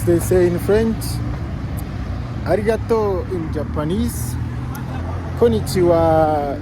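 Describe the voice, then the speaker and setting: Chatty Kenyan guy, high voice, loud street. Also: slow. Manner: chatty, rambling, self-correcting. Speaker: vlogger. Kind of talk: casual walking vlog. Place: outdoors on a city street. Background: traffic noise.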